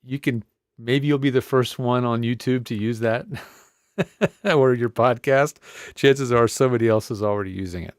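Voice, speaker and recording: A man's voice: medium pitch, normal speed, smooth, no echo. A man presenting a casual hands-on audio gear tutorial, thinking aloud in a conversational way on a close studio microphone. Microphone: close studio podcast microphone.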